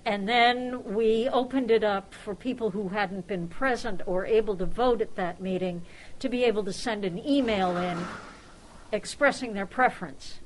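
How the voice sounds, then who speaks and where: A woman host presenting an award informally at a club luncheon, chatty and halting, often interrupting herself. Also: mid-range voice, a little hiss or hum, rough recording. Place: restaurant luncheon room. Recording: busy restaurant crowd chatter.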